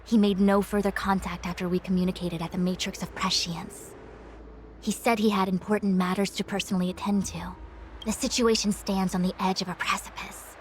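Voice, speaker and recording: A woman's voice: medium pitch, little pitch movement, brisk, slight background noise, natural voice. Game role: commanding woman official played by a woman, mid-range voice, formal and resolute. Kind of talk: video game voice acting.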